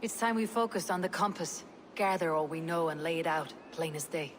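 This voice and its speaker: An actress playing a battle-hardened Viking warrior woman in a video game, speaking in terse, measured, poetic phrases. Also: deep voice, slight background noise, natural voice. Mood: resolute. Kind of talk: dramatic video game dialogue.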